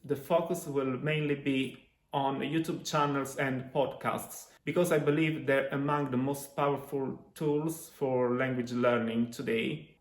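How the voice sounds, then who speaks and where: Italian-native guy doing a youtube talk to camera, mid pitch, slow and careful, lots of uh pauses, roomy echo. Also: steady tone. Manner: deliberate, with frequent uh pauses. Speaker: language-learning YouTuber.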